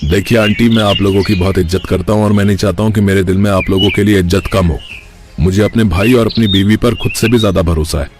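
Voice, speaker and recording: A man's voice: low voice, fairly even intonation, quick pace, background noise, natural voice. A man playing a trusting, loyal husband and elder brother in a cartoon story, earnest in manner. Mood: firm. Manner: earnest, principled. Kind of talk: cartoon story character acting.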